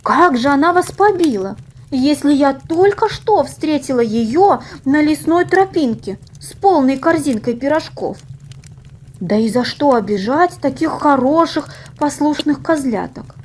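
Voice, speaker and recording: A woman's voice: sits high, expressive, measured pace. A woman voicing a strict but caring mother goat in a children's storybook, with an admonishing motherly manner. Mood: concerned, questioning. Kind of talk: storybook character reading.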